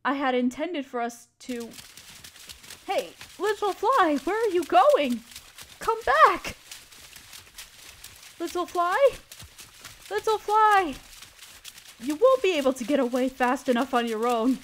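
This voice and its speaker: A woman playing a gentle, anxious, motherly spider queen in an audio roleplay, speaking tenderly and pleadingly, with careful phrasing. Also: sits high, animated, takes it slowly, long pauses, a little hiss or hum, heavily acted. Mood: alarmed, pleading. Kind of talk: scripted audio roleplay addressed to listener.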